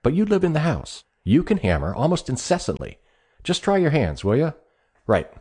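A man voicing a stout, prosperous, hearty visitor in an audiobook, talking loudly, briskly and bossily. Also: a little high, wildly swooping pitch, slightly rough, voice pushed up, exaggerated voice. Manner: loud, brisk, emphatic.

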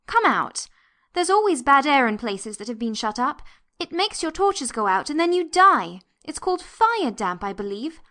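An earnest, slightly bossy storybook girl, as a woman reader plays her. The voice is high and swoops about. She sounds anxious and pleading. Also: very animated, a little slow, a bit bright, full character voice. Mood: urgent. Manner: urgent, pleading.

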